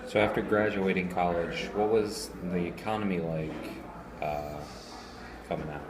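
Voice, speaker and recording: A man's voice: deep voice, fairly even intonation, moderate pace. A man interviewing a guest, asking plain questions with a few fillers. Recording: echoey room with crowd noise. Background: crowd chatter.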